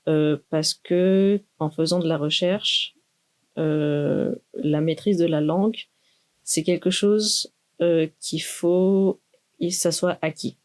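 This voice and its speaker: A young woman, a doctoral student speaking in a thoughtful, measured way with many pauses in a university podcast interview. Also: deep voice, fairly even intonation, darkish tone. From Greece. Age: 20s. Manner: measured, thoughtful, with frequent hesitations.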